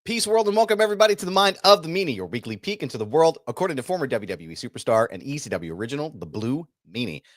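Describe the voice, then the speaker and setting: A man turning forty who hosts a casual show, upbeat and quick to laugh. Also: mid-range voice, very animated, dry recording. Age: 30s. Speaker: host. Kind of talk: casual chat.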